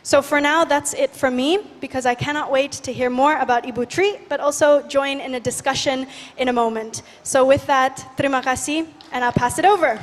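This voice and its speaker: A 21-year-old woman from Indonesia giving an energetic, lively talk on a conference stage. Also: high voice, fairly quick, slight background noise. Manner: lively, guides the audience in breathing. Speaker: youth speaker. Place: large conference hall stage. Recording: reverberant hall.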